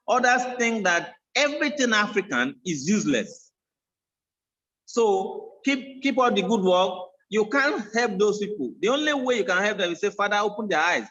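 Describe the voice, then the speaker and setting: High-voiced man, preachy. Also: a bit bright. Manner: preachy, encouraging, rhetorical questions. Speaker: host. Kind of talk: casual talk show chat. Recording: dull lo-fi sound.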